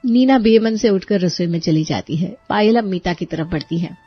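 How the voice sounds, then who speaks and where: A woman's voice: on the low side, moderate pace, dull audio. A woman reading scripted storybook narration as the narrator.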